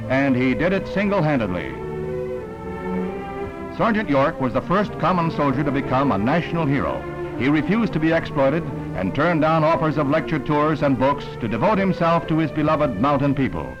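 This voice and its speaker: A man with an American accent narrates like a 1960s newsreel announcer, in a slightly high voice. The old film soundtrack is muffled and band-limited. Music plays clearly behind him.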